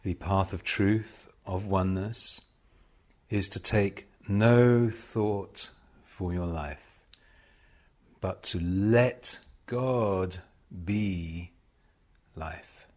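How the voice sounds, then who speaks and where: A man leading a guided meditation as a spiritual teacher, speaking solemnly and deliberately, with measured pauses and repeated phrases. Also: low-pitched.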